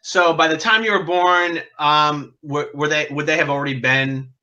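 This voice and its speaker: A man's voice: a little high, steady tone, moderate pace, pushed and bright. A man asking curious interview questions. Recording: dull lo-fi audio.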